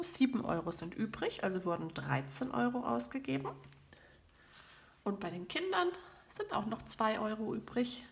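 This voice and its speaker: Female host, congested with a cold, low creaky fry, relaxed thinking-aloud budget chat at her desk. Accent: southern German. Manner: chatty, thinking aloud over numbers. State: has a cold with a stuffy nose. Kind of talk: casual talk while doing a monthly budget. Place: at a desk indoors, hands over a planner.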